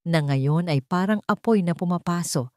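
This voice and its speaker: A woman with a Filipino accent narrates a romantic drama in a low voice. Her delivery is measured and theatrical, broken into short phrases, and the recording is dry and close. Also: a little mellow, dry recording. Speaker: storyteller. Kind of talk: scripted dramatic narration of a Tagalog love story.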